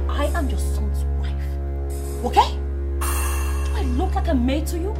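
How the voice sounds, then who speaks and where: An actress playing a defiant young wife, confrontational and emphatic, in a melodramatic film style. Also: female voice, fairly high pitch. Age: young adult. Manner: confrontational, emphatic, repeating herself. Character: furious, defiant young wife standing up to her mother-in-law.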